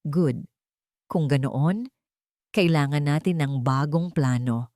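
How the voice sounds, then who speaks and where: A woman's voice: low voice, slow, warm tone, no room sound, clean studio sound. A Filipino woman voicing a decisive, protective young man, the resolute hero of an audio romance.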